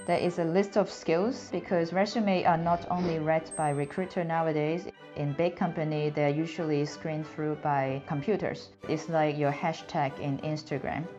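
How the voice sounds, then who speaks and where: A woman's voice: sits low, steady tone, normal speed, few pauses, mellow. A woman in her thirties from Hong Kong, with a Hong Kong accent and Cantonese as her first language, earnestly explaining career advice to the camera in a small room. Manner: earnest, slightly non-native phrasing, clear explanatory tone. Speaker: career advice video host. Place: small indoor room, plain background. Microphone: camera framed mid-shot. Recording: slightly dull sound with faint music.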